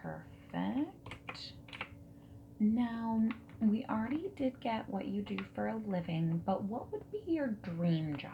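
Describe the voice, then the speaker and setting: A woman playing a friendly dating service interviewer in a soft-spoken ASMR roleplay, breathy and often slipping into a whisper, gentle and reassuring. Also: mid-range voice, expressive, narrow, tinny audio. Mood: friendly, curious. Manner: gentle, unhurried, reassuring, asking questions. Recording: background noise with keyboard typing and clicks.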